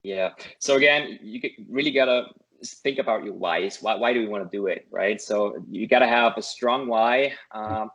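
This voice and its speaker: A man's voice: lowish voice, normal speed, a bit bright, poor audio. A man from Germany with a German accent, a non-native English speaker, earnestly sharing tips as an interview guest and often tagging "right?" onto his points. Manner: earnest, repeats words and adds "right?" often. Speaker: guest, course student. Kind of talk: casual interview over a call.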